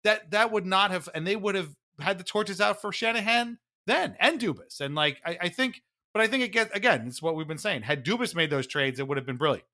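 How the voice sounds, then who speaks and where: A man co-hosting a podcast, explaining things in casual sports talk with animated, wry asides. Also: high-pitched, brisk, few pauses. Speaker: podcast co-host.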